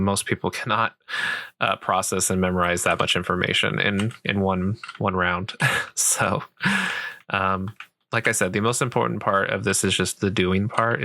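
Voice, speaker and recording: A man playing a sweet, patient gamer boyfriend, softly and gently explaining a game in a casual, rambling roleplay. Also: low voice, a little hiss or hum. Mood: reassuring. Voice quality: soft, creaky voice. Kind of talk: roleplay ASMR, casual improvised game tutorial.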